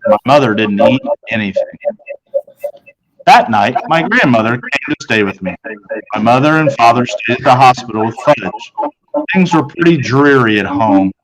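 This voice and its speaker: A man's voice: medium pitch, animated, moderate pace, crowd noise, rough recording. A man reading a first-person children's story aloud as its narrator. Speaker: storybook reader.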